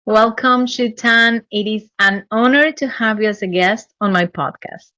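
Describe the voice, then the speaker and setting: Woman podcast host, unhurried and earnest, dry close sound, a bit lo-fi. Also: mid-range voice, sharp tone, no room sound. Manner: measured, earnest, hesitant with ums. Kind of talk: interview, reading guest bio.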